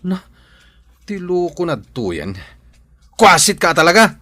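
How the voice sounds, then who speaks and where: A man with an Ilocano accent playing a brash, teasing man in a radio drama, quick to retort. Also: high voice, very animated, pushed and bright, dry recording, exaggerated voice. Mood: teasing, indignant.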